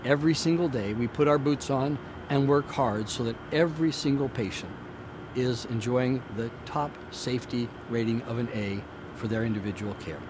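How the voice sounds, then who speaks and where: A man giving a prepared hospital newsreel update in a formal, measured, deliberate way, like an executive addressing the community. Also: medium pitch. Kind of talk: prepared newsreel presentation to camera. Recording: clear outdoor traffic and ambient noise.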